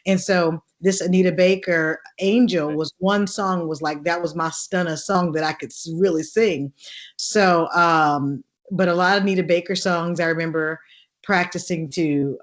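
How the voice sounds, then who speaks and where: A woman with a deep contralto voice, telling stories as an interview guest in an animated way, laughing and acting out the voices of people she quotes. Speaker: actress and singer guest. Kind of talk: lively interview storytelling.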